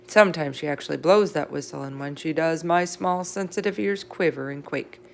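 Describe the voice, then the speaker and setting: A woman's voice: sits low, moderate pace, gravelly, slight background noise. A woman reading a children's storybook aloud as narrator. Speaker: read-aloud reader. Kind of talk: children's book read-aloud, character voices in dialogue.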